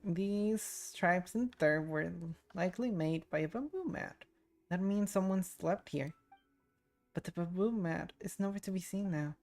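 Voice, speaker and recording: Laid-back woman streamer with creaky voice. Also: on the low side, measured pace. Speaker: VTuber game streamer. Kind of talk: casual playthrough commentary, reading game text aloud. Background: soft game music.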